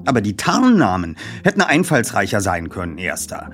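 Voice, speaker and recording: A man voicing a cheeky teenage boy detective with a dry, quietly mocking manner in an audiobook. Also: lowish voice, wildly swooping pitch, normal speed, faint music behind. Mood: teasing. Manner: dry, teasing.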